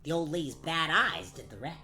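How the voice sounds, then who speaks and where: A woman's voice: sits low, lively intonation, fairly quick, bright, forceful tone, faint music behind, pitched below the performer's own voice, heavily acted. A woman voicing a dim, greedy, good-natured little boy in a comedic radio play, dopey and polite. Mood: dopey, agreeable. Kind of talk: comedic radio play, gag-dub cartoon acting.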